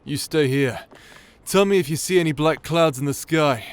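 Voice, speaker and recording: An actor playing a crude, irreverent rogue warrior in a fantasy audio roleplay, talking in a casual, sarcastic, teasing way. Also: male voice, on the high side, normal speed, natural voice. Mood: teasing, bored. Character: cheeky, crude, irreverent bastard warrior man, sardonic rogue.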